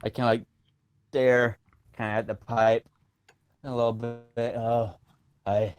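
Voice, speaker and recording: A man voicing an awkward young male orc warrior who talks in hesitant, halting bits. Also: mid-range voice, level tone, soft background music, close to an everyday voice. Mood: puzzled. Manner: hesitant, halting in-character talk.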